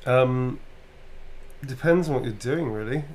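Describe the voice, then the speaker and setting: A British man talks casually through fan questions, rambling a little and correcting himself as he goes. His voice sits at an ordinary middle pitch. A faint hum runs underneath. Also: unhurried, darkish tone. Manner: chatty, loose and informal, full of ums and self-corrections. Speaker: voice actor answering fan questions. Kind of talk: casual live Q&A.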